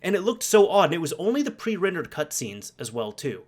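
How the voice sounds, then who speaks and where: A man with a slightly high voice speaks as a gaming video host. His delivery is casual and conversational. He leans on key words and restates points for emphasis. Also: very animated, a little fast. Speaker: video game YouTuber presenting to camera. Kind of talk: casual video commentary with personal anecdotes.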